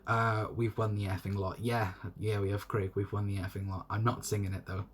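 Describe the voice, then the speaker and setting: A man's voice: on the low side, level tone, brisk, slight background noise. A young man from South Wales with a South Welsh accent, hosting a solo fan livestream and reading chat comments in a rambling, repetitive, friendly way. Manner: rambling, repeats himself, friendly to chatters. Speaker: fan channel host.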